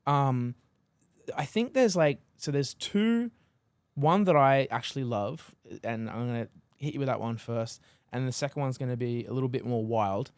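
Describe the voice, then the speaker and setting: A man's voice: mid-range voice, expressive, normal speed, clear, resonant tone, dry recording. A man hosting a podcast interview, chatting casually and rambling with lots of filler words. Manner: casual and rambling, many fillers, laughs between phrases. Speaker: podcast host.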